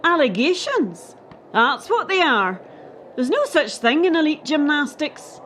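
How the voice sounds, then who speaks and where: A woman's voice: sits high, sing-song, unhurried, a bit bright, slight background noise, heavily acted. A woman acting in a radio play as a dismissive, hardened gymnastics official defending her sport. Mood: dismissive, cold.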